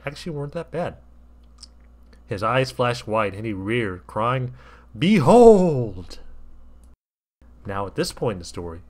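A man reading a comic story aloud with wry, mock-earnest delivery. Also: lowish voice. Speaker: fan fiction reader.

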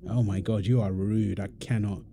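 A man's voice: on the low side, fairly even intonation, normal speed, creaky, mellow, slight background noise. A British man playing an exasperated, defensive husband in an audio roleplay, arguing in an insistent, repetitive way. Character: exasperated, defensive husband and father quarrelling indignantly. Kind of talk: dramatic one-sided argument roleplay.

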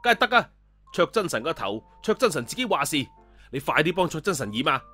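A pushy, childish would-be deity from an audio story, put on by a male narrator. He pitches his voice high and loud, insisting on his way and repeating his demands, with music underneath. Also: sing-song, brisk, slightly rough, full character voice. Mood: excited, demanding. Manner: loud, insistent, repeats himself, speaks of himself in third person. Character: childish, stubborn, boastful self-styled god, male.

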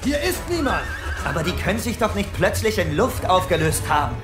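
A man's voice: high voice, sing-song, fairly quick. A man playing a determined royal huntsman on the chase in a fairy-tale audio drama. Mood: commanding. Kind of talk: German audio drama acting. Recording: roomy echo on the voice. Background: music.

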